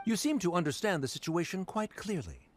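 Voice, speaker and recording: A man's voice: high voice, very animated, brisk. A man playing a stern, haughty middle-aged official in a video game, formal, pompous and dismissive. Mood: cold, reproachful.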